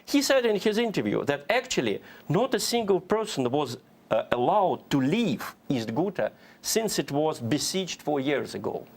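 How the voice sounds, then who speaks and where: A man with a Russian accent argues his points as a political commentator on a panel. His voice sits somewhat high for a man. His delivery is sardonic and argumentative. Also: very animated, normal speed.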